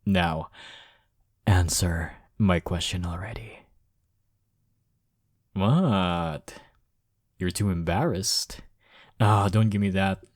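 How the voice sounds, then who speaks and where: Deep-voiced, cocky flirtatious college rival acted by a man. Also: extremely slow, long pauses, dry recording, clean studio sound. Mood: low, teasing. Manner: smug, flirtatious, drawling teasing with soft chuckles. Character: cocky, teasing male college rival smitten with listener. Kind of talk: intimate romantic audio roleplay.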